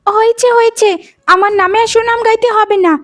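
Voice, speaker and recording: A woman's voice: very high-pitched, fairly even intonation, brisk. A woman voicing a spiteful, lazy, quarrelsome younger daughter-in-law in a cartoon, whining and complaining sharply. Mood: indignant. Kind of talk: Bengali cartoon voice acting.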